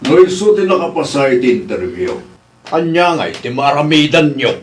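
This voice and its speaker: A man's voice: medium pitch, expressive, normal speed, breathy edge. A man voicing a stern, lecturing character in a radio drama.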